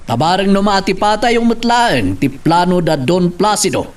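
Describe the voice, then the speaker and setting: A man's voice: high-pitched, animated, moderate pace, far from the mic. A man playing an earnest farmers' leader addressing his comrades in a declamatory audio drama style. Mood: earnest, rallying. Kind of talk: radio-style Ilocano drama acting.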